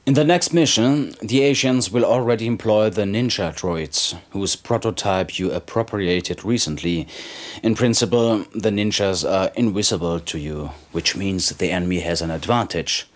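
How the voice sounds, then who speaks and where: A sly underground informant from a video game, acted by a man. His voice sits on the low side. He speaks with smooth insinuation, like a conspirator dealing in military secrets. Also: normal speed, lightly acted. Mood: warning. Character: sly male underground informant, smooth-talking and self-serving. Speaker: informant character in a game cutscene.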